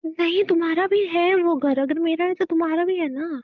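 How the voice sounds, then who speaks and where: A dry, dull-sounding recording holds an actress as a clinging estranged wife in a relationship drama. Her young voice is high-pitched. She pleads remorsefully and insistently, circling back to the same appeals. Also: steady tone, moderate pace, no echo. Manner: insistent, pleading, repeats herself. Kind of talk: Hindi audio relationship drama, naturalistic dialogue.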